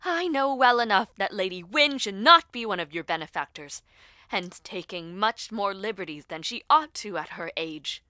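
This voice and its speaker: A woman voicing an anxious, protective young princess in an audio story, earnest and cautioning, with breathy intensity. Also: medium pitch, animated, moderate pace, sharp tone, no room sound, studio quality. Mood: angry pleading.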